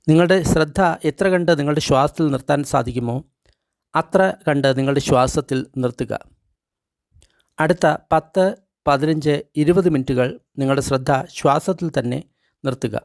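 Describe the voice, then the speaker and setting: A man's voice: fairly high pitch, a little mellow, dry recording. A Malayali man reads guided meditation instructions aloud, speaking in short, separated phrases in an instructional manner. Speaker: meditation guide reading a translated book.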